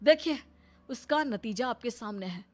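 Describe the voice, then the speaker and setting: A woman's voice: on the high side, expressive, a little slow, voice pushed up. An earnest cartoon husband and son voiced by a woman speaks over music. He is troubled and reproachful, torn between two loyalties. The delivery is melodramatic. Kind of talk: melodramatic Hindi cartoon voice acting.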